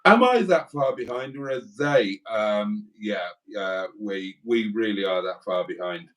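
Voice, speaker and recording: A man's voice: lowish voice, animated, measured pace, far from the mic. A man from Wales co-hosting a live stream, chatting casually and reading viewer comments aloud with rambling asides.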